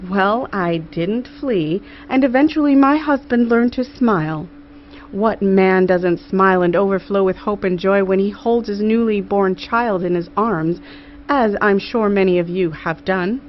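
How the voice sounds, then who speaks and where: A woman voicing an earnest, gracious queen giving a formal, measured address to her council in an audiobook. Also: medium pitch, lightly acted. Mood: wry, warm. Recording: muffled audio with clear background noise.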